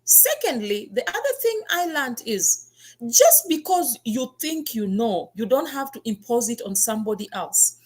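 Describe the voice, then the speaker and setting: A woman's voice: a little high, very animated, normal speed. A Kenyan woman with a Kenyan accent, hosting a talk and telling her own story in an earnest, emphatic way. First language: her Kenyan mother tongue. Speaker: talk show host.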